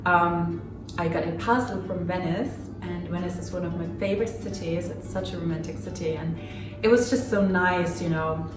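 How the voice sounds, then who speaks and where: A woman's voice: on the low side, fairly even intonation, normal speed, echoey room, far from the mic, over loud music. A woman in her twenties, from Finland and raised in Germany, chatting through a casual get-to-know-me Q&A with many fillers and small laughs. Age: 20s. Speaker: vlogger. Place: home room.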